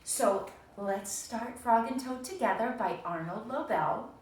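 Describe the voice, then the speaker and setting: A woman's voice: fairly high pitch, a little slow. A woman teacher giving a read-aloud reading lesson to young children, explaining things and addressing them directly. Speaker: primary school teacher. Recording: echoey room, distant mic, faint noise.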